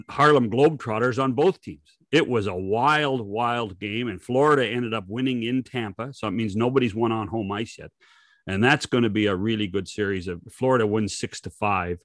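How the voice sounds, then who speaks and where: A man's voice: on the low side, no echo. Older man, emphatic, dry close sound. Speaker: sports podcast co-host. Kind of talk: animated casual sports chat. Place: home study on a video call. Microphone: desk microphone.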